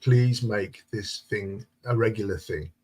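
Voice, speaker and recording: Husky man hosting a live chat. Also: lowish voice, unhurried, a little mellow. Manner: jokey, banters and reads comments aloud. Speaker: host of a live stream. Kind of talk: casual live-stream chat reading viewer comments.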